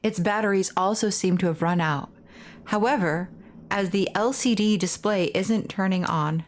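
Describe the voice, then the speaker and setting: A woman's voice: mid-range voice, normal speed, slight background noise. A woman doing let's play narration, reading on-screen text theatrically with casual asides. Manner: reads dialogue theatrically between casual asides. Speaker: streamer reading game dialogue aloud.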